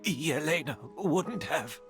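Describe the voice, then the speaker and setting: A proud, bitter, unrepentant game villain in middle age. He speaks slowly and theatrically, with wide swings in pitch, over soft music. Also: expressive, full character voice. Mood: incredulous, accusing. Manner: theatrical, deliberate. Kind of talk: video game voice acting.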